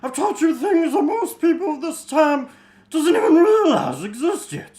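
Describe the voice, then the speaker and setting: Fantasy mentor, acted by an amateur reader: extremely high voice, swooping and emphatic, earnest, roomy echoing recording. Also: very animated, moderate pace, darkish tone. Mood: encouraging. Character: Noblin, earnest mentor sending a young hero off.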